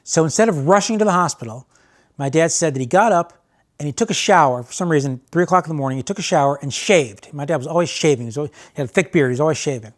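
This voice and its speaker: An American man hosting and telling stories casually, in an animated way with phrases repeated for emphasis. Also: a little high, racing. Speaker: host and storyteller. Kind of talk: casual spoken storytelling.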